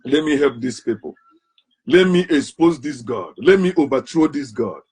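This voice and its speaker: A man voicing a defiant Lucifer who is plotting a rebellion, in a slightly lower, husky voice. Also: expressive, normal speed, darkish tone. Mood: scheming.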